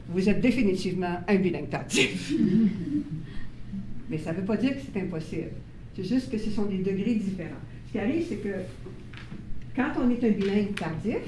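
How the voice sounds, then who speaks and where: A woman's voice: medium pitch, warm tone. A woman leading a workshop for parents, explaining ideas and checking in with her listeners. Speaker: workshop presenter on child bilingualism. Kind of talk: live workshop talk to parents. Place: meeting room with audience. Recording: roomy sound from a distant mic, faint noise.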